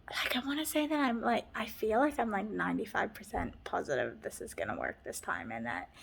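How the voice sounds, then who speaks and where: A woman's voice: medium pitch, vocal fry, breathy edge. A woman with a Canadian accent touched by New Zealand vowels, chatting earnestly in a personal vlog and rambling with lots of "like". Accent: Canadian with a New Zealand tinge. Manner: rambling, heavy use of "like", earnest. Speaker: vlogger. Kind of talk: casual personal vlog chat.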